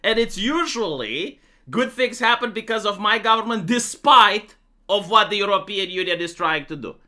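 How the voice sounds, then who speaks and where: A man's voice: sits high, pushed and bright. A young man from Romania with a Romanian accent, giving a casual, opinionated political monologue full of fillers. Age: young adult. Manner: opinionated, matter-of-fact, many fillers. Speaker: political commentator.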